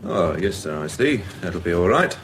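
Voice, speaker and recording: An actor playing an obliging, businesslike police sergeant in a radio drama. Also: male voice, deep voice, big pitch swings, normal speed, a little hiss or hum.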